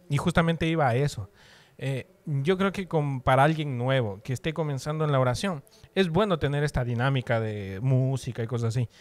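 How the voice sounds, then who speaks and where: A man's voice: fairly high pitch, no room sound. A man from Ecuador casually explaining faith as a podcast co-host, repeating phrases for emphasis. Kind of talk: casual religious discussion.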